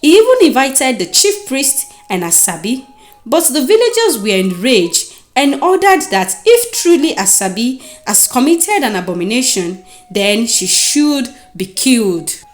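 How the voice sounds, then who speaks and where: A woman's voice: high-pitched, very animated, unhurried. A woman with a Nigerian accent narrating a scripted folktale dramatically as a storyteller. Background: music.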